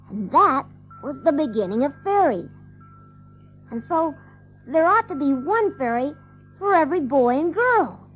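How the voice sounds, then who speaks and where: A woman's voice: high voice, full character voice, poor audio. A woman playing a cocky, boastful little boy with a childlike manner in an audio drama of a storybook. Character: cocky, boastful ageless little boy who can fly.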